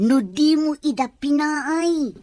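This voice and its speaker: A woman's voice: high voice, animated, takes it slowly, dark tone, dry recording. A woman playing a nosy, blunt, teasing relative in an audio drama.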